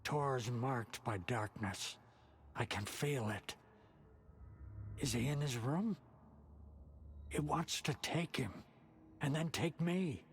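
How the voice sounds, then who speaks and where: Slow, portentous old rocker grandfather. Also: medium pitch, slight background noise. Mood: grave warning. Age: older. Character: old male rocker seer, grandfatherly, cryptic, salty-tongued. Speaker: actor in a video game. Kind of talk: dramatic game cutscene acting.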